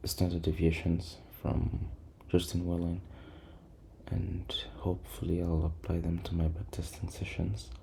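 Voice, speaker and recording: Male trader for casual screen-share commentary: deep, flat delivery, low energy, hesitant, with clear background noise and keyboard sounds. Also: unhurried. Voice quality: low, creaky voice. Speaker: trader recording a backtesting session. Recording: noisy room, keyboard and typing sounds.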